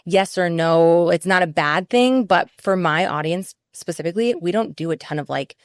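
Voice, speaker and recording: A woman with a slightly low voice hosts a podcast. She talks casually and agrees often, and the sound is dry and close to the mic. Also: moderate pace, no room sound. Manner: casual, agreeable, frequent "yeah". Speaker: podcast host, fitness coach. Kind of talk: conversational podcast interview.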